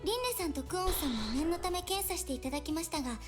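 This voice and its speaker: A woman voicing a polite young female nurse in a game, bright and cute. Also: extremely high pitch, lively intonation, quick pace, over music. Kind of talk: Japanese game voice acting.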